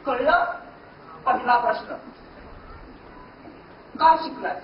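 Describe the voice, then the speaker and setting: A man's voice: extremely high pitch, takes it slowly. A man playing a bossy, exasperated coach in theatrical stage comedy, commanding and scolding his trainees. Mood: instructing. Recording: distant, echoey, noisy, muffled stage recording.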